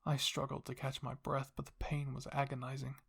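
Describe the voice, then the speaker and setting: A man's voice: medium pitch, monotone, fairly quick, gravelly, fairly bright tone, husky. A man reading scripted story narration as the host of an ASMR roleplay channel.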